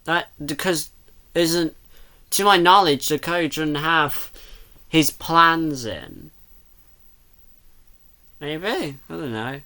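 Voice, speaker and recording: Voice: mid-range voice, drawn-out words, long pauses, bright, forceful tone. Someone giving casual reaction commentary on a video, rambling and second-guessing as they think aloud. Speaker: reactor commenting on a fan comic dub.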